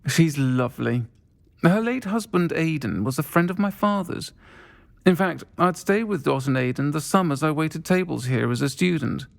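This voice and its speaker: Woman innkeeper, husky-low pitch, creaky, measured, protective, video game dialogue. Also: lively intonation. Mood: caring. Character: kindly, composed woman pub landlady, protective friend.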